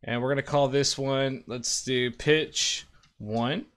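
Man, thirties, informal tutorial host with mid-pitched voice, explaining software steps casually. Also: fairly even intonation, slow pace. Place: indoor room at a desk.

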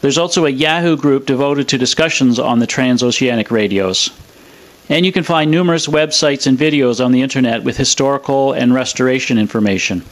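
Male presenter, scripted technical narration, mid-pitched voice. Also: brisk. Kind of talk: scripted narration of a radio restoration demo.

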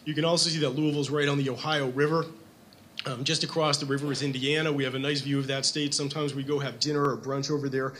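A man's voice: medium pitch, level tone, quick pace, a bit bright. Male physician, 40s, podium talk; conversational, self-deprecating, hesitant fillers; faint room noise. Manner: conversational, self-deprecating, many ums and restarts. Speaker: physician lecturer. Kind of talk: conference lecture. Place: hospital conference room. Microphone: podium microphone.

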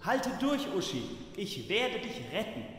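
A man's voice: high voice, big pitch swings, unhurried, a bit bright, exaggerated voice. A man playing an earnest, pompously nice superhero in a comic radio play, with declamatory heroic delivery. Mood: desperate. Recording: very echoey hall, faint noise.

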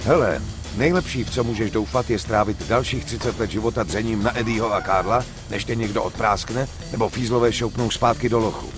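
A man's voice: lowish voice, hardly any pauses, music in the background, lightly acted. A man playing a level-headed, persuasive gangster in a dubbed crime game, blunt and slangy. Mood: calm, persuasive. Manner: blunt, slangy, self-assured. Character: level-headed, persuasive male gangster running a drug deal. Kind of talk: dubbed video game acting.

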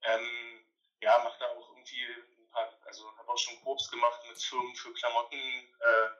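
A man in his thirties joins by phone, his voice bright and forceful. The line sounds dull and lo-fi. He talks in halting bursts, full of fillers. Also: medium pitch, completely flat delivery. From Berlin, Germany. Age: 30s. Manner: hesitant, many fillers. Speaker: guest artist. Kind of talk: casual interview. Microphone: phone call.

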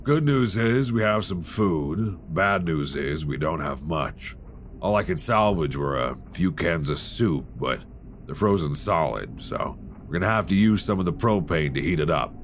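Very deep-voiced, weary expedition survivor played by a man. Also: measured pace, faint music behind. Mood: tired, caring. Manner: sighing, low-key, addressing one listener as friend. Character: weary, grim male survivor on icebound expedition ship. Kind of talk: horror ASMR audio roleplay, close intimate acting. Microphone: close binaural microphone. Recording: close binaural with steady storm-like ambience.

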